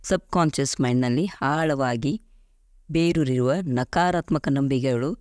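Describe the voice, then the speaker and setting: A man guiding a visualization meditation in a slow, soothing way with deliberate pauses. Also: mid-range voice, darkish tone. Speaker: meditation guide. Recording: music behind the voice. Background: clear background music.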